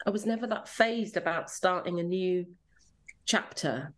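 Low-pitched English woman, chatty. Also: measured pace. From rural Devon, England. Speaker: interview guest, children's drama commissioning editor. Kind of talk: casual reflective interview chat.

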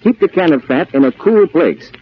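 A man announcing in an earnest, emphatic, deliberate way, reading a scripted 1940s radio public-service appeal. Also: fairly high pitch, animated, slight background noise. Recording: muffled 1940s radio broadcast.

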